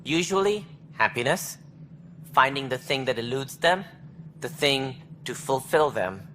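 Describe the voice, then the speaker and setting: An actor playing an officious alien station director in a video game, speaking precisely and formally with a wry, earnest streak. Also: male voice, mid-range voice, lively intonation, measured pace, a bit bright, slight background noise. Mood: earnest, stately. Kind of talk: video game dialogue acting.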